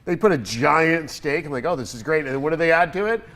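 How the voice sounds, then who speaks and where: A man hosting a casual studio interview, sounding congested and telling stories with lively energy and laughter. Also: fairly high pitch, fast. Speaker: podcast host.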